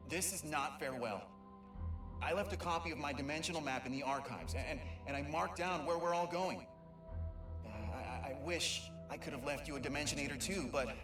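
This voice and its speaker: An actor playing an excitable young male Lombax mapmaker who stammers and rambles, in a video game audio log. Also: on the high side, close to an everyday voice. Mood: somber. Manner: stammering, talkative, self-amused. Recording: echoey and distant, music behind.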